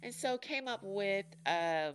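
A woman in her forties from Chicago hosting a podcast, talking frankly and jokingly in a deep, nasal voice. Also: expressive, slow pace, a little hiss or hum. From Chicago, USA. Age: 40s. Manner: frank and joking, laughs often. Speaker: podcast host. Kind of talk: casual candid monologue.

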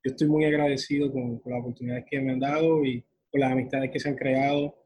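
A young man with a husky voice, chatting casually and effusively as he interviews someone. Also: mid-range voice, little pitch movement, fast, a little mellow.

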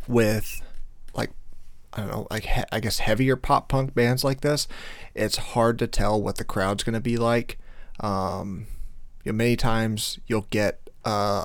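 A male podcast host with a slightly hoarse voice, talking casually in a rambling way with many fillers. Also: on the low side, no echo. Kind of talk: casual solo podcast intro.

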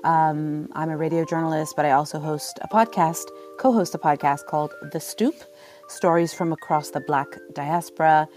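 Mellow, low-pitched woman moderating a panel. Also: fairly even intonation, moderate pace. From Sudan. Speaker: panel moderator, journalist and podcast host. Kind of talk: conversational live panel hosting. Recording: live audio-app chat, faint music.

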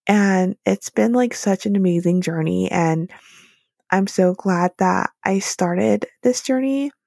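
Late-20s woman rambling on her own podcast, lots of vocal fry, dry close sound, loads of likes and ums. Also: mid-range voice, slow, darkish tone, no room sound. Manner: chatty, candid, lots of "like" and "um" fillers. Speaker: podcast host. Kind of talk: solo podcast monologue, personal reflection.

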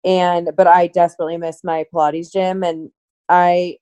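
A young woman talks as a guest in casual chat, bluntly and loudly, swearing freely. Her voice sits slightly low. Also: little pitch movement, normal speed, smooth.

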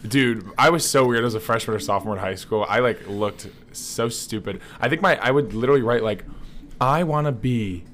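A man's voice: mid-range voice, fairly quick, fairly bright tone, a little hiss or hum. A young man hosting a casual podcast chat with his roommates, talking animatedly and laughing often. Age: young adult. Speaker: podcast host.

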